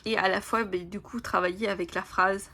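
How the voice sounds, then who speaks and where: A woman whose first language is Italian, casually presenting oracle cards, reading their lines aloud slowly and whispering in awe, with many fillers. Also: mid-range voice, steady tone. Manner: soft, often whispering in awe, hesitant with fillers. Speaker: oracle card channel host.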